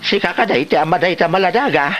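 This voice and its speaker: A man's voice: sits high, lively intonation, fast, a bit bright, soft background music. A man with a Filipino Ilocano accent playing a lazy, whiny grown son in a comedy drama. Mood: teasing.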